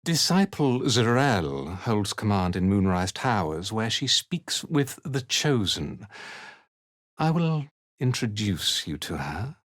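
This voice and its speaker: Haughty, slow-speaking cult commander. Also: male voice, on the low side, big pitch swings, no echo, clean studio sound. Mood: imperious. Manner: imperious, deliberate, disdainful. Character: haughty, ruthless male cult commander, contemptuous slave driver. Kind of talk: video game character acting.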